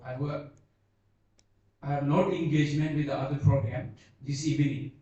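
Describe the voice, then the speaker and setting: Bhoti-native man, halting, in an echoey hall. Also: on the high side, flat delivery, mellow. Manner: halting, searching for words, slow and earnest. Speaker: Buddhist seminar speaker. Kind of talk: unscripted seminar talk. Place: seminar hall. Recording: echoey hall, distant dull mic.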